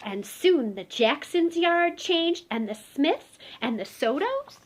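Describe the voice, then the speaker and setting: A woman's voice: sits high, big pitch swings, slow, slight background noise. A woman reading a children's picture book aloud expressively, talking directly to young listeners. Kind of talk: expressive children's storybook read-aloud with asides.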